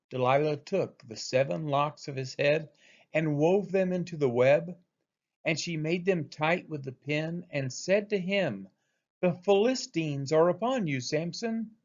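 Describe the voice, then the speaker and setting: Slow, animated man reading scripture aloud. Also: a little high, dull audio. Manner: deliberate, dramatic emphasis on quoted speech. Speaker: scripture reader. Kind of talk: expressive Bible read-aloud, scripted narration.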